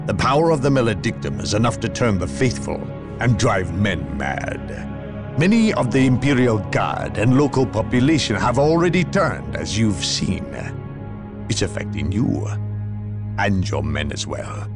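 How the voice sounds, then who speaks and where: An actor in a video game playing a grave, haughty inquisitor, speaking in a portentous, theatrical way. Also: male voice, lowish voice, big pitch swings, unhurried, loud music behind the voice. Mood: urgent, resolute. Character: grave, haughty inquisitor, a zealous hunter of evil. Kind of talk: dramatic video game cutscene acting.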